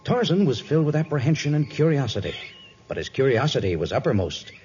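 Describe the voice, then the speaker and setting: A man's voice: medium pitch, very animated, fast talker, darkish tone, slight background noise. A man narrating an adventure serial as announcer, in a dramatic scripted 1950s radio style. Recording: dull vintage 1950s radio recording. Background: faint music.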